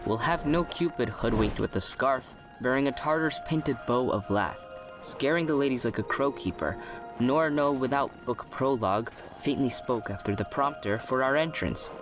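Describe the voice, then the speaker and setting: A man acting a practical young gentleman with a medium-pitched voice. He declaims Shakespearean verse in a sensible, no-nonsense manner over clear music. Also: moderate pace, natural voice. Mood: dismissive, practical. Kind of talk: Shakespearean audio play acting.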